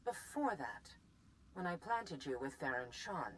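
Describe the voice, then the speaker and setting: A stern female war leader from a video game. Her voice is low and lively in pitch, clipped and imperious as she hands down orders. Faint noise sits behind it. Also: measured pace, low-quality recording. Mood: stern, dismissive. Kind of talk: dramatic video game dialogue.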